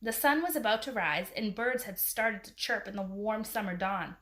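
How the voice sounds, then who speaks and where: A woman's voice: mid-range voice, normal speed, a bit bright. A woman reading a children's adventure story aloud as its narrator. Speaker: storybook reader. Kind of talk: children's book read aloud.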